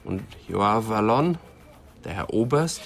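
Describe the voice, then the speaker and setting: An actor playing a wry, teasing police assistant in a radio crime drama, talking in a colloquial, dryly ironic way. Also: male voice, lowish voice, lively intonation, takes it slowly, vocal fry, a little hiss or hum, exaggerated voice. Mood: dry, businesslike. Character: wry, teasing male police assistant reporting to his inspector.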